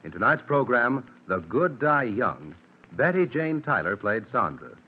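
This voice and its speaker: A man's voice: medium pitch, very animated, unhurried, breathy edge. A man reading scripted credits as a formal, polished 1940s radio announcer. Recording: tinny, hissy 1940s radio recording.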